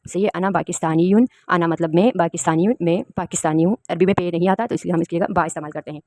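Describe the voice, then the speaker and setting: A woman's voice: on the low side, steady tone, racing, darkish tone, no room sound. A woman from Pakistan, teaching an Arabic lesson and patiently explaining each word. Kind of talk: spoken language lesson.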